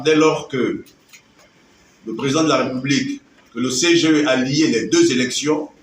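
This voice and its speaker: A man's voice: mid-range voice, slight background noise. Man giving an echoey political speech. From Gabon. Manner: oratorical, emphatic, measured pauses. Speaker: political movement leader. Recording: echoey, distant mic with faint ambience.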